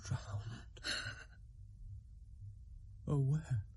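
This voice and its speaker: A man's voice: very slow, mellow, slight background noise. An actor with a British accent playing a hot-headed, vengeful young nobleman in a Shakespearean radio drama, in an intense, declamatory manner. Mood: stricken grief.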